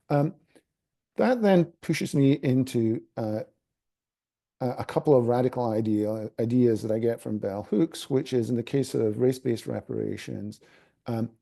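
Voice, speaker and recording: Gravelly Scottish man, halting. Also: mid-range voice, warm tone. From Scotland. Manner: halting, many fillers and restarts. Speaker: panelist. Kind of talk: academic panel talk.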